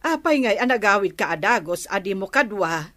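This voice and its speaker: A woman's voice: mid-range voice, animated, normal speed, a bit bright, natural voice. An actress with an Ilocano accent playing an elderly grandmother in a radio drama, questioning and admonishing with concern. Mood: questioning, scolding. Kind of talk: comedy radio drama acting.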